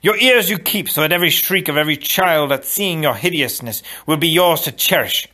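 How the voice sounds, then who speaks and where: A man voicing a young storybook hero who delivers commanding, menacing threats with slow theatrical emphasis. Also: a little high, expressive, sharp tone, voice pushed up.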